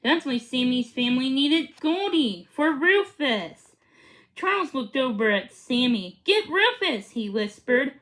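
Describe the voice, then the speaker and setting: A woman with a high, light-pitched voice reads a children's book aloud. She goes slowly and haltingly, stumbling on words and picking them up again. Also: lively intonation. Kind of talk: read-aloud of a children's storybook.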